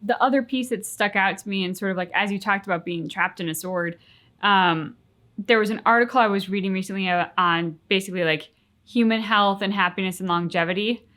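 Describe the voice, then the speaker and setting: Woman chatting on a reaction show, thoughtful, emphatic, normal mid pitch. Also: fairly quick, fairly bright tone. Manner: reflective, emphatic, conversational. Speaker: reaction channel co-host. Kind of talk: casual reaction chat.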